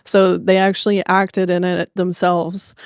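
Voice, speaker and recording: A woman's voice: lowish voice, little pitch movement, unhurried, clean tone, no room sound, clean studio sound. A woman co-hosting a podcast, chatting casually and informally about video games. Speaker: podcast co-host.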